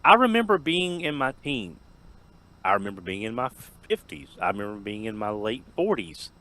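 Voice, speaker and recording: A man's voice: fairly low pitch, sing-song, normal speed, bright, forceful tone. A man in his fifties chatting casually on a podcast, joking and repeating words as he gets going. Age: 50s. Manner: jokey, repeats words when starting. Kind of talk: casual chat.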